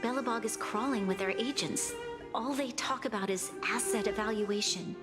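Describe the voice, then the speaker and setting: A woman's voice: fairly high pitch, normal speed, big-room sound, loud music behind the voice, close to an everyday voice. A young woman voicing a composed, earnest and burdened leader in a video game. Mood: weary, worried.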